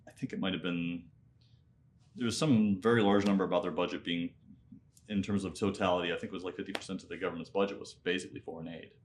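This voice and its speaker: A man in his thirties who grew up in Nepal and speaks with an American accent. His voice sits on the low side. He tells his story conversationally and reflectively as an interview guest. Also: steady tone, fast talker, slight background noise. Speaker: interview guest, MBA student and entrepreneur.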